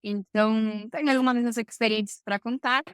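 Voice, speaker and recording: High, clear woman. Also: steady tone, fairly quick, no room sound, clean studio sound. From Argentina, Buenos Aires. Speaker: podcast guest, supply chain professional. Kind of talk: conversational interview answers.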